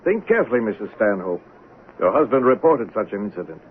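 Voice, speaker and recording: An actor in a 1970s radio drama playing a formal, measured, persistent police sergeant. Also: male voice, lowish voice, very animated. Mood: insistent, sceptical. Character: probing, persistent male police sergeant interrogating suspects. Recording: tinny narrow-band 1970s radio recording, noisy.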